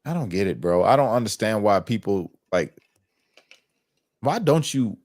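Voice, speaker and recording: A man's voice: on the low side, sing-song, moderate pace, darkish tone, no room sound, studio quality. An American man chatting casually on a political livestream in colloquial, streetwise slang. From United States. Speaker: livestream host.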